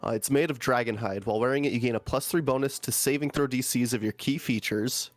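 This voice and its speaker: A man's voice: mid-range voice, quick pace, faint music behind. A man who is sick with a groggy voice, reading item text aloud as a player in a tabletop game, with casual asides.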